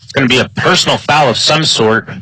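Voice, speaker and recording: A man's voice: medium pitch, quick pace, pushed and bright, husky, dry recording, poor audio. A man with an American accent doing live play-by-play commentary of an American football game. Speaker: play-by-play sports announcer.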